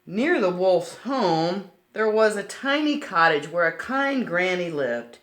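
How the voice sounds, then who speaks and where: Female storytime reader, lowish pitch with lively swings, speaking to young children in an echoey room. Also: slow. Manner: simple, child-directed explaining. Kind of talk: expressive picture-book read-aloud with asides to children. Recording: roomy, echoing sound.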